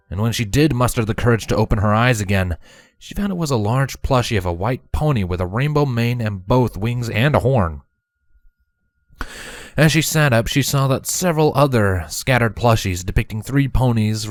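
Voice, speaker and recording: A man giving an expressive scripted narration of a fan fiction story. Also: mid-range voice, no room sound.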